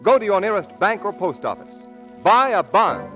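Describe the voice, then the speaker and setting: Animated, higher-pitched vintage radio announcer man. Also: normal speed, faint music behind. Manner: emphatic, formal sales delivery. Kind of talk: scripted 1940s radio announcing. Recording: muffled old radio broadcast.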